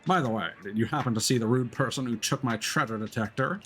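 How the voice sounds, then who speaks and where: A man's voice: mid-range voice, fast talker, a bit bright, faint music behind, lightly acted. A man voicing a small, courteous and earnest robot creature from a video game, with formal phrasing. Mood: earnest, grateful.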